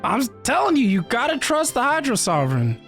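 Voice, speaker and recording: A woman's voice: high voice, big pitch swings, brisk, creaky, soft background music. A woman giving casual gaming reaction commentary in short, sympathetic interjections.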